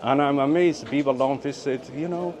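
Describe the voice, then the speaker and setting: A man's voice: medium pitch, normal speed, darkish tone. A man from Birmingham, UK, chatting casually as a travel vlogger, hesitant and often repeating himself. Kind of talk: casual vlog chat and narration. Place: out and about in a city. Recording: busy street and cafe chatter behind.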